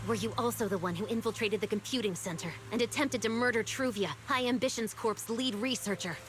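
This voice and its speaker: A woman playing a stern, formal figure of authority in a game cutscene, questioning in a measured, commanding way. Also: on the high side, close to an everyday voice. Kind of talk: dramatic game cutscene voice acting. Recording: noticeable background ambience noise.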